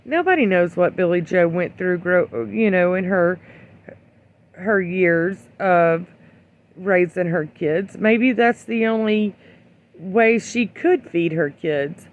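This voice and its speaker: An opinion vlogger giving casual, rambling commentary in a blunt, admonishing way, with hesitant pauses. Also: mid-range voice. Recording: dull lo-fi sound.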